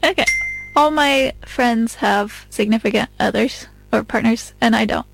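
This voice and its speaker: Woman in her thirties, dry close sound, high voice, colloquial casual conversation. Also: a little slow, gravelly, breathy edge, no echo, soft background music, low-quality recording. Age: mid-30s. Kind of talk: casual chat.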